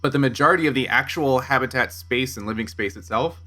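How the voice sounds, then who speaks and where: A man's voice: mid-range voice, quick pace, bright, forceful tone. A man speaking as game master, improvising scene descriptions and rulings in a tabletop roleplaying game.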